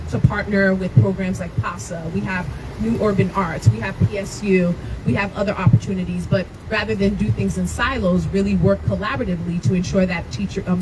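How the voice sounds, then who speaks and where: A woman whose first language was not English, a mayoral candidate, answering press questions earnestly, with many fillers and restarts. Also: medium pitch. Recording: loud noise, far from the mic.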